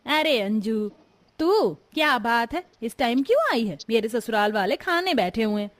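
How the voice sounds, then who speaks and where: A woman's voice: a little high, expressive, moderate pace, lightly acted. A woman voicing a stingy, disdainful neighbour in a story, haughty and dismissive. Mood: surprised, irritated.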